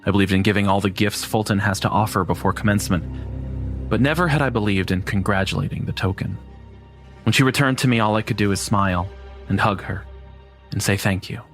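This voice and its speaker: A man's voice: fairly low pitch, a little fast, fairly bright tone, faint music behind. A man narrating a scripted first-person horror story.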